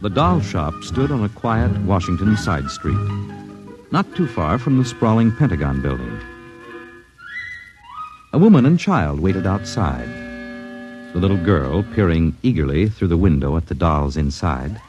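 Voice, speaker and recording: A man's voice: low-pitched, big pitch swings, measured pace, low-quality recording. An American man as announcer and narrator, reading scripted 1950s radio drama in a portentous, theatrical manner. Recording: vintage radio broadcast, loud music behind.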